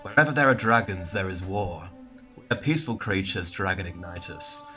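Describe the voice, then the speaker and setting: A man's voice: sits low, expressive, normal speed, bright, forceful tone, soft background music. A man acting a serious, wary, peace-loving dragonfly in a grave dramatic reading. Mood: stern, wary.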